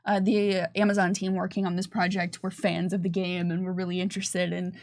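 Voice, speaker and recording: A woman's voice: on the low side, little pitch movement, a little fast, darkish tone. A woman answering questions conversationally on a livestream, explaining at length with frequent hesitations and restarts. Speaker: game developer presenting on a livestream. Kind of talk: unscripted livestream Q&A.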